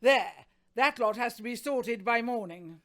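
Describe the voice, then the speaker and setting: A woman's voice: on the high side, measured pace, noisy background, natural voice. A woman playing a stern, scornful shopkeeper wife in a radio play, with a curt, scolding way of talking. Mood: scornful, bossy.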